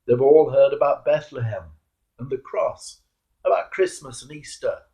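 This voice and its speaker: A man's voice: mid-range voice, moderate pace, gravelly, warm tone, close to an everyday voice. A man voicing a sly, scheming devil who instructs his underlings in a sermon parable. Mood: dismissive.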